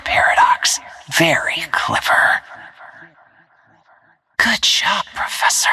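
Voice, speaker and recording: A lethal, coolly taunting female assassin from a video game. Her voice is low and very breathy, often close to a whisper. She speaks very slowly and deliberately, with poised menace. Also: bright, forceful tone, no room sound, full character voice. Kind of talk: video game character voice lines.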